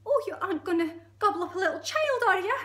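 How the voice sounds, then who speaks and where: A woman voicing a small, excitable monkey from a storybook, squeaky and exclamatory, cheerful but easily horrified. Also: fast, echoey room.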